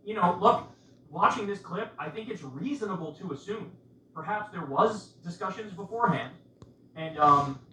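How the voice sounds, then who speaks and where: A man with a forceful, somewhat high voice delivers casual political commentary in an insistent way, leaning on rhetorical questions. He sounds set back from the mic in a roomy space with faint noise. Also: expressive, moderate pace, recorded from a distance.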